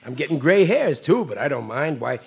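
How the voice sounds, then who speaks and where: An actor playing a complacent, blustery working-class American husband around forty in a classic radio sitcom. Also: male voice, a little high, very animated, brisk, creaky, husky, heavily acted. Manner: blustery, plain-spoken, folksy. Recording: noisy vintage radio recording.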